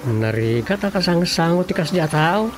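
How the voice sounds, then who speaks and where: A man's voice: on the high side, lively intonation, normal speed, natural voice. A man playing a village chairman's grave, disapproving confidant in an Ilocano radio comedy drama, with an Ilocano accent. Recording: music bed behind the voice.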